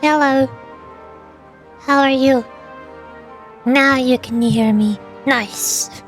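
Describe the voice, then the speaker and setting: A woman's voice: high-pitched, steady tone, very slow, faint music behind. A tired, sleepy woman chatting casually and rambling on a livestream as a VTuber. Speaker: VTuber streamer and amateur voice actor.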